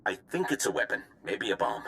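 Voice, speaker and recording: A man's voice: fairly low pitch, wildly swooping pitch, brisk, gravelly, fairly bright tone, smooth, full character voice. An actor playing an insistent, frustrated male scientist recording a warning log in a game, urgent and opinionated. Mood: worried, frustrated.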